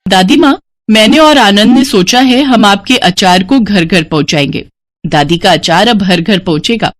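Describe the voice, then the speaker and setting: A woman's voice: medium pitch, lively intonation, normal speed, natural voice. A woman voicing a caring, protective young granddaughter in a moral story. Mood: proud.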